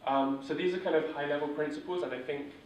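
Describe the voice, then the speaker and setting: A man leads a workshop in a loose, conversational teaching style with plenty of ums and restarts. His voice stays flat and even in pitch. It sounds caught by a distant mic in a very echoey room with a faint hiss underneath. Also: fast. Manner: steady, monotone delivery with filler words. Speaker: workshop instructor. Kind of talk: informal teaching talk.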